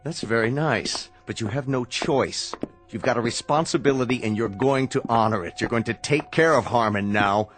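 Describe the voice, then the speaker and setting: An actor in a radio drama playing a stern, sardonic medical researcher who speaks in a measured, commanding way. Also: male voice, medium pitch, fairly bright tone, faint music behind, lightly acted.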